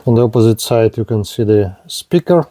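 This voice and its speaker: A man presenting a product demonstration in short, halting phrases, with a low-key, matter-of-fact manner. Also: expressive, warm tone. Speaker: product reviewer.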